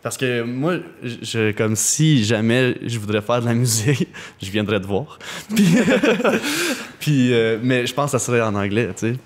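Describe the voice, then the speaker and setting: A man hosts a laid-back podcast chat. His voice sits at an ordinary middle pitch. He keeps things easygoing, with quick nods of agreement and easy laughter. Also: very animated. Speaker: podcast host. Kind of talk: casual podcast interview.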